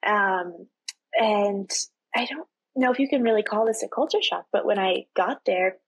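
A woman in her twenties from Texas, a student guest chatting casually in an interview, full of fillers and quick laughs. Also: medium pitch, husky, no room sound. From Texas, USA. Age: 20s. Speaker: podcast guest, university student.

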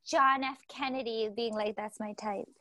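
High-voiced chatty woman with a baby voice. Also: normal speed, over a noisy crowd. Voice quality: self-described baby voice. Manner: chatty, animated, many fillers. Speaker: podcast co-host. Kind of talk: casual chat.

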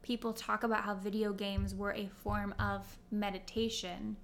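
A young adult woman from Kentucky and Ohio, co-hosting a podcast with animated, playful chat and quick laughter. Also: mid-range voice, creaky, a little hiss or hum. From Kentucky and Ohio, USA. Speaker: podcast co-host. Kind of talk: casual chat.

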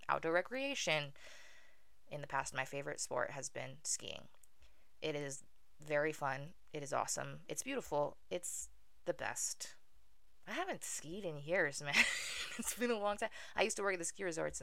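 Young woman podcast host, smooth but gravelly low voice, self-deprecating humor. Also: a bit bright, no echo. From Venezuela. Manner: wry, self-deprecating, with filler ums. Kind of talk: casual solo podcast monologue.

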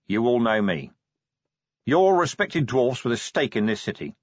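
A man voicing a blunt, tired commander of the city watch, crisp and snappish, with a lively, slightly husky voice. The recording is close and dry, a touch dull. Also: medium pitch, a little slow, no echo. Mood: appealing.

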